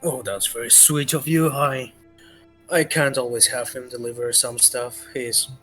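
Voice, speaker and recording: A man running a casual tabletop roleplay as game master, talking in a halting way as he thinks aloud. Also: mid-range voice, steady tone, bright, forceful tone, rough recording. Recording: music playing behind the voice. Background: background music.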